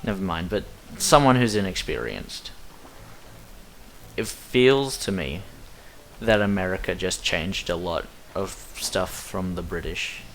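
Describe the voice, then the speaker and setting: A man's voice: low voice, expressive, measured pace, fairly bright tone, slight background noise. A young Australian man with an Australian accent giving chatty, rambling commentary while speedrunning a game. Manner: chatty, self-deprecating, rambling asides. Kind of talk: casual commentary over gameplay.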